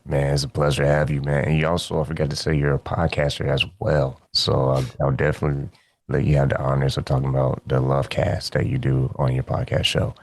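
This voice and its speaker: A man's voice: a bass voice, completely flat delivery, fairly quick, gravelly. A man hosting a radio interview show in a laid-back, friendly way, often calling his guest "man". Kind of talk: casual interview chat.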